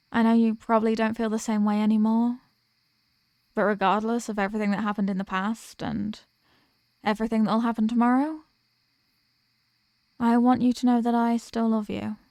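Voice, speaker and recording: A woman playing a remorseful villain and former hero, confessing to a lost love in a halting, earnest dramatic monologue. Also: fairly high pitch, creaky, a little mellow, no echo. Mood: tender, apologetic. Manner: halting, earnest, pausing between phrases. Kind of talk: dramatic audio roleplay monologue, voicemail confession.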